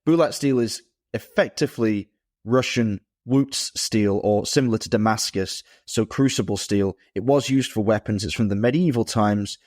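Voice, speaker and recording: A man's voice: fairly low pitch, moderate pace, darkish tone, no echo, clean studio sound. A man co-hosting a casual podcast chat, explaining things fluently and with enthusiasm. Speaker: podcast co-host.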